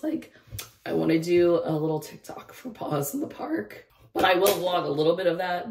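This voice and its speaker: Young woman, twenties, hoarse from losing her voice; casual rambling vlogger, slightly low pitch, camera held at arm's length. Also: a little mellow, reverberant, recorded from a distance. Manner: rambling, lots of "like" and "I don't know". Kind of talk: casual vlog chat. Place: car, bedroom and outdoor park. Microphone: phone or camera held at arm's length.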